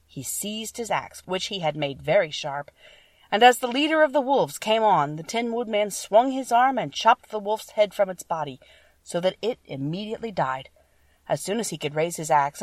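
Female storybook narrator, slightly low voice, very dry room, sitting a little back from the mic. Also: expressive, moderate pace, no room sound, distant mic. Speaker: audiobook narrator. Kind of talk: scripted children's story narration.

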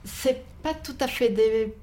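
A woman's voice: fairly high pitch, takes it slowly, mellow. A woman bookseller, not a native French speaker, talking about books in an interview, hesitant with many fillers and restarts. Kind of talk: interview conversation.

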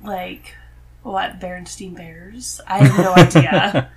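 Creaky-voiced woman in casual chat. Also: fairly low pitch, takes it slowly, crowd noise. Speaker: podcast guest, host's wife. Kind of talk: casual conversation about reading.

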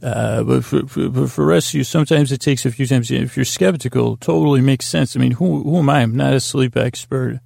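Gravelly, meandering man lulling listeners to sleep. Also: medium pitch, darkish tone, no room sound, studio quality. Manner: meandering, halting, full of pauses and unfinished tangents; self-deprecating, dryly whimsical. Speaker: host of a bedtime-story sleep podcast. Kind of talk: rambling bedtime monologue.

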